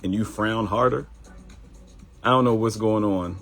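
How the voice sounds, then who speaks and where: A man's voice: on the low side, unhurried, a bit bright, soft background music. A middle-aged man with a Baltimore accent hosting a live morning show in casual talk, addressing viewers directly and acting out quoted voices.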